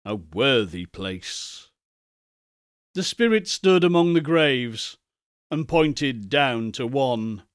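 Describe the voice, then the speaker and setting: A man narrating a Victorian ghost story in a measured, theatrical reading. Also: medium pitch, expressive, smooth, no room sound, clean studio sound. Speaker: narrator, audiobook reader.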